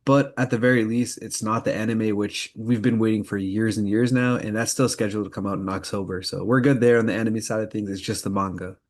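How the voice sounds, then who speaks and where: A man's voice: lowish voice, fairly even intonation, a little fast, hardly any pauses. A man co-hosting a podcast, leading a casual news roundup in informal speech. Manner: informal, leads the segments. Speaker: podcast co-host. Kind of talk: casual news roundup chat about anime.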